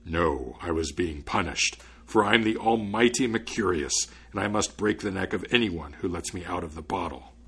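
Man playing a huge menacing spirit, husky and forceful. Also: low-pitched, moderate pace, hardly any pauses, voice pushed down, exaggerated voice. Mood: menacing, contemptuous. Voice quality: frightening, forceful voice. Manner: threatening, imperious.

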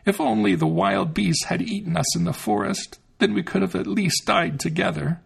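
Two frightened little children, pleading in a raised, wavering voice. A man puts them on, lifting his pitch. The voice is husky around the edges. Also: normal speed, heavily acted. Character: frightened little boy and girl.

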